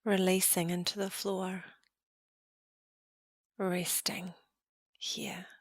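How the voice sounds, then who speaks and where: Woman doing guided meditation, lowish voice, really dry close sound, calm n gentle. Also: dry recording. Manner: calm, gentle, unhurried phrasing with soft trailing endings. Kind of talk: guided meditation, slow soothing narration.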